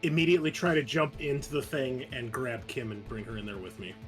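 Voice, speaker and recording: A man's voice: mid-range voice, steady tone, fairly quick. A man playing a tabletop game, chatting casually and thinking aloud in a hesitant way. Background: soft music.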